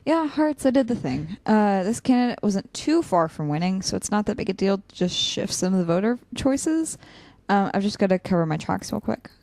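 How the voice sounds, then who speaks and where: A woman's voice: medium pitch, expressive, normal speed, a little mellow, no echo, lightly acted. A woman playing an earnest, conflicted, loyal young student in a naturalistic audio drama, a little hesitant. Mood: relieved, casual.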